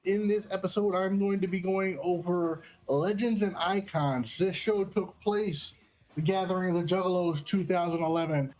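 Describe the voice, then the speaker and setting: A man's voice: sits high, a little mellow, low-quality recording. A man hosting casual wrestling commentary in an enthusiastic, slangy and profane way. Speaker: segment host and match commentator.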